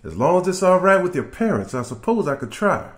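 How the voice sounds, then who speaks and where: A gentle older street musician read by a man, courteous and easygoing. The pitch is a little high and moves expressively, in the manner of a children's storybook. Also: very animated, a little fast, echoey room.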